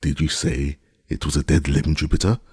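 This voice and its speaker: A man voicing an excitable gentleman, feverish and commanding, who speaks in urgent, demanding questions. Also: a bass voice, moderate pace, husky, no room sound, studio quality, heavily acted.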